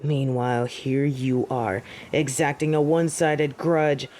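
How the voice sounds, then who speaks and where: A woman's voice: rumbling low, steady tone, a little slow, darkish tone, slight background noise. A woman playing a proud fallen angel in an audio drama, with haughty, theatrical and formal delivery. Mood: defiant, indignant. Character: proud, defiant fallen female angel of judgement. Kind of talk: scripted audio drama acting.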